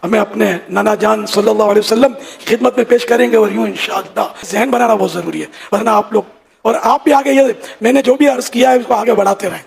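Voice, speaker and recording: A man's voice: sits high, fast, vocal fry. An older man, a religious leader, giving an informal sermon of advice to his followers.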